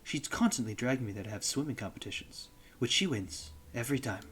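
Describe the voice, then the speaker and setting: A man voicing a gentle, polite blind young woman speaking softly on the phone. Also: mid-range voice, lively intonation, fairly quick, a little hiss or hum, close to an everyday voice. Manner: soft, courteous phone talk.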